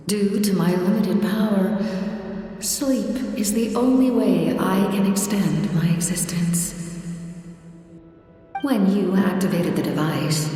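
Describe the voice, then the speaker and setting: A woman voicing an ancient, grave and serene ruler of souls in a game, speaking formally and in measured phrases. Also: medium pitch, darkish tone, close to an everyday voice. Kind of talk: scripted fantasy game acting. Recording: strongly echoing cavernous hall, music behind. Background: faint music.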